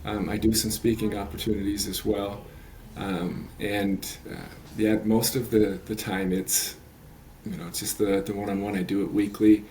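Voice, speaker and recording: Earnest late-thirties man, low voice. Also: level tone, normal speed, darkish tone, a little hiss or hum. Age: 30s. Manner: earnest, rambling with many fillers and restarts. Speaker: guest, resilience coach. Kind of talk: podcast interview.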